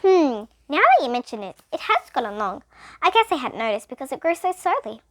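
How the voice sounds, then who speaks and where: A woman's voice: high voice, big pitch swings, brisk. A female reader voicing a strict, blunt, matter-of-fact woman schoolteacher in a children's storybook. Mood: mildly surprised.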